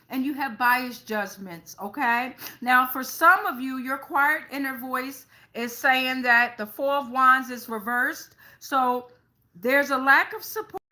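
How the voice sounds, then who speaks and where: Female tarot host, high bright voice, unscripted and colloquial, frequent check-in tags, swears casually. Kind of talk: improvised tarot reading addressed to online viewers.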